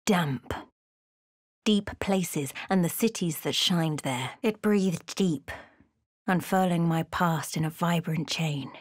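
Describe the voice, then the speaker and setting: A woman narrating a fantasy game as a solemn storyteller, in a measured, dramatic manner. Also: on the low side, dry recording, clean studio sound.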